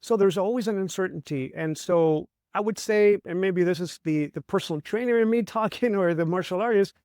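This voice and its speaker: A man's voice: high voice, fairly quick, a little mellow, clear, resonant tone, no echo, studio quality. A middle-aged man from Chile, a martial artist and trainer, explaining ideas in a reflective way and often asking "right?". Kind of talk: conversational interview.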